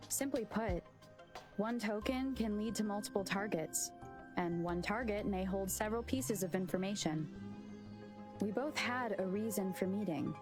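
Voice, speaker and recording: Game lead: young female wanderer, mid-pitched, measured and analytical, clear music underneath a woman acts it. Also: close to an everyday voice. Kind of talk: video game voice acting. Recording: loud game music behind.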